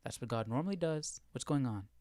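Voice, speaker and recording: A man's voice: medium pitch, animated, fairly quick, mellow, no echo. A man hosting a casual live Bible study, explaining as he thinks aloud and often posing questions. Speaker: livestream Bible study host.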